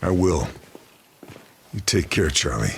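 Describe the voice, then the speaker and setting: An actor playing a grim, resolute Allied sniper in a video game, speaking tersely and with determination. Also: male voice, big pitch swings, slow, a little hiss or hum, exaggerated voice. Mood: steady. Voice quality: very deep, low voice. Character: grim, resolute Allied sniper soldier behind enemy lines. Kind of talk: cinematic game narration and dialogue.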